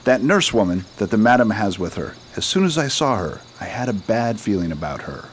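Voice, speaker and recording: A man playing a shrewd, secretive detective in a radio drama, brisk and authoritative. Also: lowish voice, big pitch swings, slight background noise. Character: shrewd, confident male detective, secretive and commanding. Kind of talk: amateur radio drama acting.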